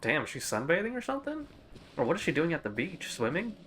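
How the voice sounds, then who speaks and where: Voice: medium pitch, huge pitch swings, fairly quick, a little hiss or hum. A game streamer giving casual, slangy and wry commentary during a playthrough. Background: loud game music.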